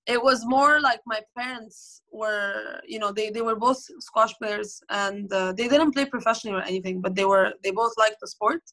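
A woman's voice: mid-range voice, normal speed, few pauses, sharp tone, low-quality recording. A woman in her twenties from Egypt, a professional squash player, chatting casually on a video call with lots of fillers and restarts. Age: 20s. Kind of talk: casual interview answers. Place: bright indoor room, at home. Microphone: phone or laptop camera on video call.

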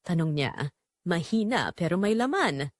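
A woman's voice: on the low side, sing-song, a little slow, no echo, clean studio sound. A woman narrating a scripted dramatic story in the first person.

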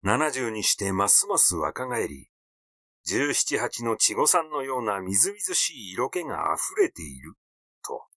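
A man reading literary fiction aloud as a narrator, in a measured storytelling delivery. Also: medium pitch, sing-song, sharp tone, no room sound, clean studio sound. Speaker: audiobook narrator.

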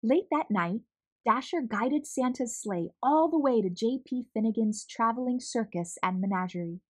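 A woman narrating a children's storybook as a read-aloud host, expressive, measured and clearly enunciated. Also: fairly high pitch.

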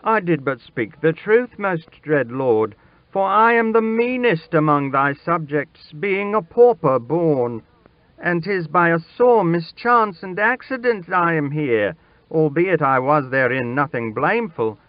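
A man's voice: fairly high pitch, slow, dry recording, lo-fi sound, pitched above the performer's own voice. A man voicing a humble young pauper boy, frightened and reverent, pleading in old-fashioned, archaic speech. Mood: desperate pleading.

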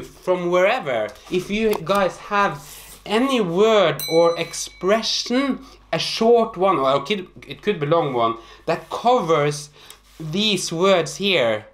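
A man in his thirties from northern Norway with a Norwegian accent, explaining an expression to camera in an animated, hesitant way, in a small apartment room. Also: high voice. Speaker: host.

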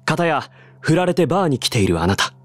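An actor playing a calm, deadpan male bartender who cracks absurd jokes and explains theories at length, in a voice drama. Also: on the high side, brisk, no echo. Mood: dry. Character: calm deadpan male bar master, joking.

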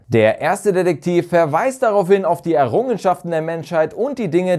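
A man in his thirties presents a review from a room at home. His voice sits a little high and moves with lively melody, as he explains and gives his opinions in a semi-scripted commentary. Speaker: video reviewer, channel host. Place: small furnished room at home, indoors. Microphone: lapel mic.

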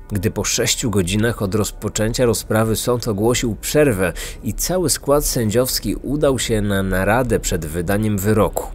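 A man's voice: mid-range voice, a little mellow, a little hiss or hum. A man reading a scripted, dramatic true-crime narration as a podcast narrator. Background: music.

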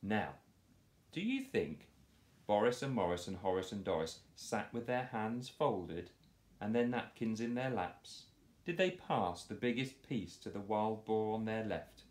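Very animated man reading a children's story. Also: on the low side. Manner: animated, playful delivery. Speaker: children's storytime host reading a picture book. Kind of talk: expressive read-aloud storytelling for children. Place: small living room, on a sofa indoors. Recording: roomy home echo.

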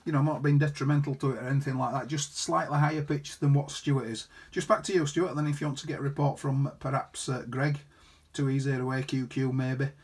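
Husky-voiced man chatting on ham radio. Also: mid-range voice, fairly even intonation, fairly quick, a little mellow. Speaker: amateur radio operator. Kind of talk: casual ham radio chat. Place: indoors at his radio set.

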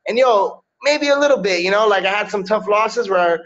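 A man in his thirties from Miami talks loosely over a webcam call, dropping "yo" and "you know what I'm saying" between thoughts. His voice sits high for a man. The audio is a little dull and lo-fi. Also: animated, moderate pace, fairly bright tone. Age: 30s. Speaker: MMA fighter, guest. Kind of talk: casual interview over video call. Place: indoors, small room. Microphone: video call webcam.

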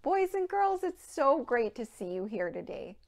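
A woman in her thirties presenting a storytime for young children, with simple, clearly phrased rhymes and counting. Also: a little high, sing-song, normal speed. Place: indoor room with playhouse backdrop.

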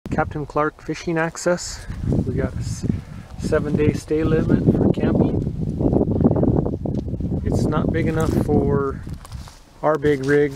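A man with a slightly raised voice narrates a riverside campsite tour in a relaxed, matter-of-fact way. He is recorded outdoors on a handheld camera mic. Loud wind and outdoor noise run under everything he says. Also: fairly high pitch, slow, long pauses. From United States. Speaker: travel vlogger. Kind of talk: casual walking tour narration.

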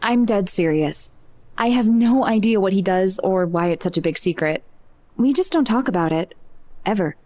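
A woman's voice: mid-range voice, expressive, moderate pace, warm tone, lightly acted. A woman playing an anxious, lonely seventeen-year-old girl in a naturalistic audio drama, speaking hesitantly and self-deprecatingly. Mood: guarded, frustrated.

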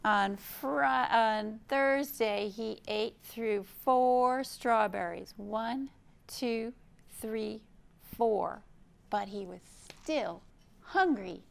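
Middle-aged American woman, children's storytime reader: slow pace, creaky edge, expressive and simple delivery. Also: fairly high pitch. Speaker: children's librarian, storytime host. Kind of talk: children's storytime read-aloud and show-and-tell. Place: indoor library room.

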